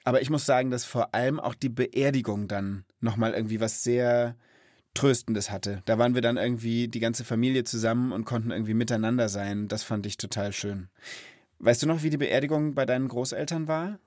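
A man's voice: mid-range voice, a little fast, a little mellow, no room sound, clean studio sound. A man hosting a children's podcast, talking casually in a reflective, explanatory way. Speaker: podcast host. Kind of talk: casual conversational talk for children.

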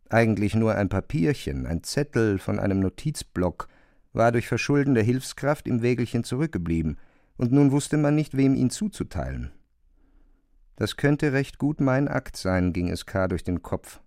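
A man reads literary prose in a measured, articulate way, as a narrator. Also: mid-range voice, darkish tone, no room sound, clean studio sound.

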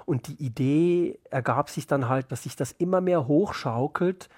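A man's voice: on the high side, moderate pace, a little mellow, no room sound, clean studio sound. A man speaking as an author in an interview, explaining his work in a thoughtful, reflective way.

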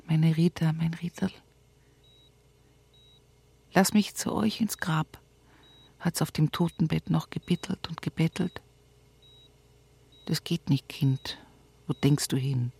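An actress playing a grieving, devout old country mother in a radio play monologue, plain-spoken and often hushed. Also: low voice, vocal fry, breathy edge, dry recording, heavily acted. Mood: grieving, bitter. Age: older. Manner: slow, hushed, often whispering, folksy.

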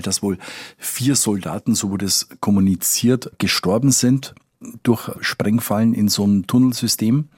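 A man with a husky voice explaining things conversationally as a correspondent in an interview, often repeating words as he goes. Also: on the low side, a little mellow, no room sound, studio quality. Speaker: foreign correspondent interviewed as expert.

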